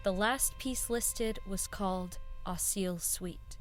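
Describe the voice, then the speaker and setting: A woman's voice: medium pitch, slow pace, soft background music, lightly acted. A woman acting in a radio drama as an earnest, idealistic broadcaster, restrained and a little hesitant. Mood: measured narrating.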